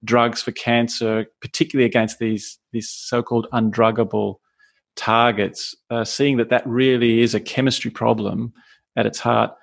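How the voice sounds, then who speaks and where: A middle-aged Australian man with a gravelly voice, a scientist guest on a podcast, talking with many hesitations and restarts. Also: medium pitch, level tone, normal speed. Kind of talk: podcast interview.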